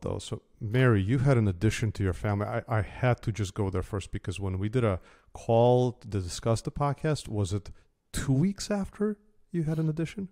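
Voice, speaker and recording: Mellow-voiced podcast host man. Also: mid-range voice. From Belarus. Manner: lively, emphatic. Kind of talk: podcast interview.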